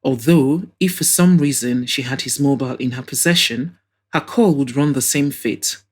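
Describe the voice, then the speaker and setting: A woman's voice: a bass voice, moderate pace, husky. A woman reading scripted narration as the narrator of an audio drama.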